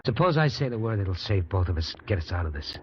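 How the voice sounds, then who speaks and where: A man's voice: lowish voice, big pitch swings, fast. An actor in an old-time radio drama playing a worn-out fugitive prisoner, plainspoken, wry and resigned to his fate. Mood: reflective, bargaining. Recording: dull, noisy old radio recording.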